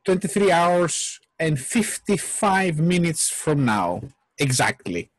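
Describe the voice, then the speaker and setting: A man's voice: on the high side, sing-song, takes it slowly, no echo. A man in his forties from Greece, speaking English, earnestly explaining at length as an interview guest over a headset. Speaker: guest, software company CTO. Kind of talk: casual interview talk. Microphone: headset on a video call.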